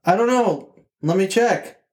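A jittery husband faces trouble at his front door, as a man plays him. His slightly high voice trips over itself in ums and restarts. He tries to sound tough and keeps faltering. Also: expressive, unhurried, a little mellow. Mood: flustered. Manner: hesitant, stammering, with many fillers. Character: nervous flustered husband answering the door.

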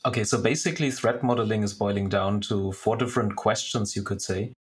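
Husky low-voiced man explaining. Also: brisk. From Germany. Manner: methodical, step-by-step explaining with restarts. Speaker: security architect guest. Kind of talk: explaining in an interview.

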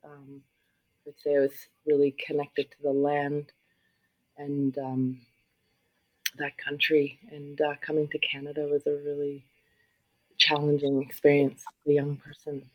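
A woman's voice: low voice, monotone. Thoughtful middle-aged woman, muffled remote audio. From South Africa. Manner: thoughtful, searching, many pauses and ums. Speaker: guest, social worker and yoga facilitator. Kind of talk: reflective interview talk.

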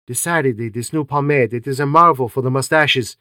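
A man voicing a fussy, vain middle-aged male detective, precise and fastidious. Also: medium pitch, pushed and bright, no echo, clean studio sound, close to an everyday voice.

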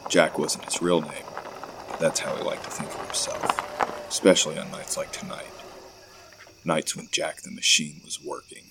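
Very deep-voiced man, measured delivery. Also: level tone, creaky, very noisy. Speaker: podcast host and audio drama narrator. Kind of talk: horror story narration and introduction.

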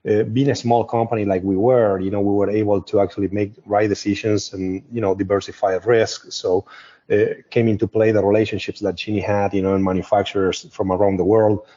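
A man with an Argentine Spanish accent talks in a low-pitched, mellow and husky voice. He explains things conversationally as an interview guest, in a discursive way. The audio is somewhat dull and muffled. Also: little pitch movement, fairly quick. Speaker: company co-founder, interview guest.

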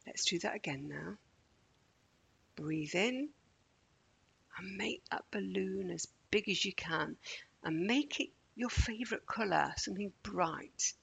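A woman leading a children's guided relaxation in a gentle, soothing, measured manner with deliberate pauses. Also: medium pitch, lively intonation, creaky, breathy edge. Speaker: meditation guide.

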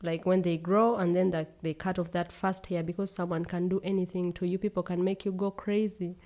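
A woman's voice: lowish voice, flat delivery, a little fast, warm tone. A young woman from Uganda, a guest in a casual conversation, speaking earnestly and laughing easily.

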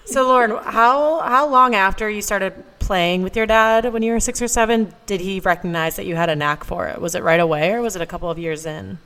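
A woman's voice: medium pitch, fairly quick, few pauses, fairly bright tone, long reverb tail. A young woman co-hosting a podcast, teasing her co-host and asking the guest questions. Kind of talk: casual podcast chat.

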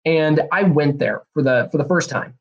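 A young man, hosting a solo podcast in a rambling, energetic way, a bit hoarse and nasal from a cold. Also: a little high, normal speed, lo-fi sound. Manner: rambling, energetic, many fillers and restarts. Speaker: podcast host. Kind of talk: casual solo podcast talk.

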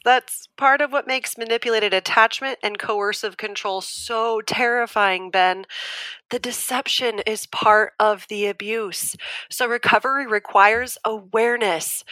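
A woman's voice: on the high side, measured pace, bright, forceful tone, no echo, poor audio. An actress playing an earnest, firm woman abuse survivor who explains patiently and articulately in an audio drama debate. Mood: grave, earnest. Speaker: debate guest.

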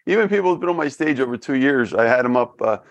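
Man with a Philadelphia accent, gravelly voice, halting delivery, repeats his words, casual interview host. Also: medium pitch, animated. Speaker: podcast host.

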